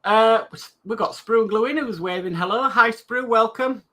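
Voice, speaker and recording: Guy reading out chat comments, fairly high voice, quite bright. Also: normal speed. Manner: reads chat comments aloud, then chats loosely. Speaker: livestream co-host reading viewer comments. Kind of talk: casual chat.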